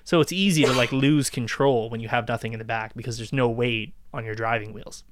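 A man's voice: medium pitch, fairly even intonation, brisk, background noise. A man with a Canadian accent telling anecdotes casually as a podcast co-host, informal and repeating himself for emphasis. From southwestern Ontario, Canada. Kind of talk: casual chat, anecdotal storytelling.

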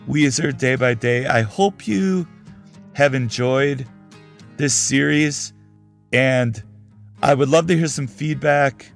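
A man talks alone on his podcast in an easy, frank way, his voice starting to give out. He speaks slowly and unhurriedly. Faint music plays underneath. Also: mid-range voice. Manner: frank, conversational opinions. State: losing his voice. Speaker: podcast host. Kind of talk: casual solo commentary.

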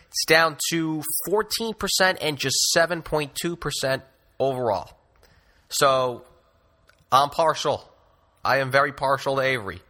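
A man's voice: mid-range voice, bright, forceful tone. A man co-hosting a basketball podcast, talking through stats in an enthusiastic, casual way with frequent restarts. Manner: enthusiastic, stat-heavy, restarts phrases often. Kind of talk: casual sports analysis chat.

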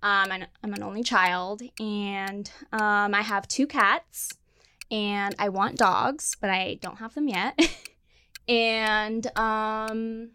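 A young woman from South Africa talks about her life in a calm, easygoing way, filling her pauses with ums. Her voice is bright and a little sharp, over a faint hiss. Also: mid-range voice. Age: young adult. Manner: relaxed, unhurried, many ums. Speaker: dating game contestant.

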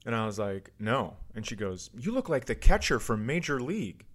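Male podcast host, medium pitch, casual comic storyteller, self-mocking and foul-mouthed. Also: big pitch swings, normal speed, a bit bright. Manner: self-deprecating, profane. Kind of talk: casual comedic banter and storytelling.

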